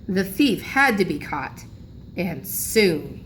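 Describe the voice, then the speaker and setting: A woman's voice: lowish voice, sing-song, slow pace, a bit bright, slight background noise. A woman reading a children's storybook aloud like a teacher, expressively, lingering on key phrases for emphasis.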